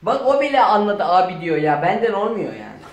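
A man's voice: high voice, huge pitch swings, brisk, lo-fi sound. A young man, grumbling through improvised comic banter in a home dining room. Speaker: performer in a children's comedy sketch. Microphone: camera a few metres away. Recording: echoey room.

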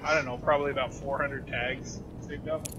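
A man's voice: medium pitch, level tone, brisk, highs cut off. A young man chatting and joking with his squad during a game, swearing casually. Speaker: gamer in a squad. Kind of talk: casual voice chat while playing. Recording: distant mic with loud game noise.

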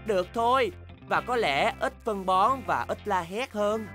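A woman's voice: mid-range voice, animated, unhurried, fairly bright tone, faint music behind. A woman voicing an eager, stubborn young boy bear in a children's cartoon dub, exclamatory and determined. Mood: friendly, upbeat.

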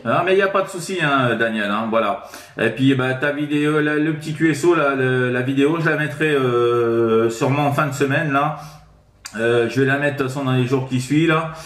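A man of medium pitch talks casually as a CB radio operator. He repeats himself and tacks small tag words onto his phrases. The room around his voice echoes noticeably. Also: a little fast, a bit bright. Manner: repetitive, with frequent tag words. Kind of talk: casual radio contact chat. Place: indoors at home, sixth-floor flat.

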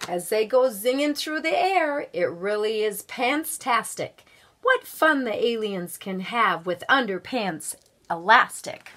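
Middle aged lady reading a kids book out loud, highish voice, takes it slow, really expressive. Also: noisy background. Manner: expressive, drawn-out storytelling delivery. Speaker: volunteer guest story reader. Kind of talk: children's picture book read-aloud. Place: small room at home.

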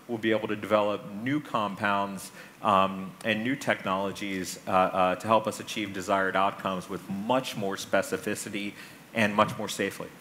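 A man's voice: fairly low pitch, pushed and bright. A man in his forties with an American accent, giving a public talk as a conference speaker on a large indoor stage. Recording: stage mic with clear background noise.

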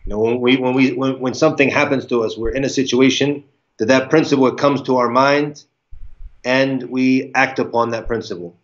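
A man's voice: medium pitch, fairly even intonation, normal speed. An American man in his forties, an Islamic lecturer, talking through a video call and answering interview questions with lots of restarts and Arabic religious phrases. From United States (Virginia). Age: 40s. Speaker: guest speaker, Islamic lecturer. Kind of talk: live online interview. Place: indoor room, plain wall. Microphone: video call webcam. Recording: muffled lo-fi video call audio.

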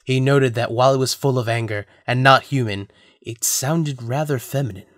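A man serves as narrator for a scripted audio drama. His voice sits at a medium pitch, and the sound is dry, with no room echo. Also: normal speed, a bit bright.

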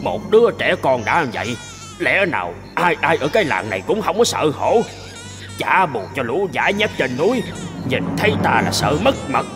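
A man voicing a boastful but cowardly tiger king in an animated folk tale, theatrical and easily panicked. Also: on the high side, moderate pace, music in the background. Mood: puzzled, anxious.

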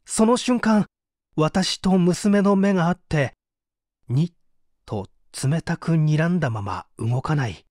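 A man acting an earnest, animated poor painter confessing. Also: fairly high pitch, slow pace, no echo, studio quality. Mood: tense, recounting. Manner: earnest, theatrical confessional storytelling. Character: poor male painter confessing crimes, contrite, agitated. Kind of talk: dramatic Japanese audio reading of a mystery story.

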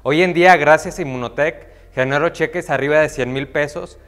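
A young man giving a measured, deliberate testimonial on a lapel mic. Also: fairly high pitch, a bit bright, long reverb tail. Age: young adult. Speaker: company testimonial speaker.

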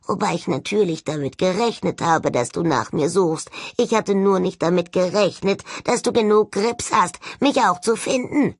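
A woman's voice: lowish voice, normal speed, hardly any pauses, breathy edge, no echo. A grumbling skull ghost from an audiobook, acted out by a woman, male, sardonic and easily offended. The delivery is sullen and mocking, with a slightly muffled edge and a close, dry sound. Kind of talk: audiobook character voice.

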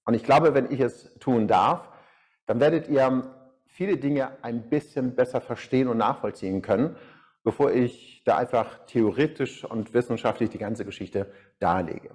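A man's voice: medium pitch, normal speed, darkish tone. Middle-aged man speaking as a seminar coach. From Iran. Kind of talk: live-stream seminar talk, personal storytelling. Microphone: small lapel mic.

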